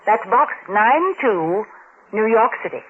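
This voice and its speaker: An American woman giving a chatty, bantering sponsor pitch as a 1940s radio announcer. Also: sing-song, takes it slowly. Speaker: commercial announcer and co-host. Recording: narrow-band, noisy old radio.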